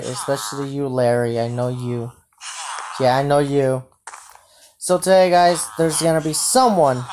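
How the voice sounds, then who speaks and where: A woman playing a bossy, easily startled king in an improvised game roleplay, haltingly repeating phrases. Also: a bass voice. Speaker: video creator playing a roleplay. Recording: noisy home mic with clicks.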